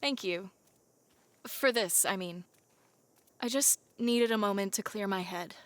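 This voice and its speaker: A woman's voice: mid-range voice, unhurried, dry recording, natural voice. A woman voicing a sassy, playful young raccoon with a teasing, sardonic way of talking, in a cartoon audio drama. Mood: subdued, sincere. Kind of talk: animated audio drama acting.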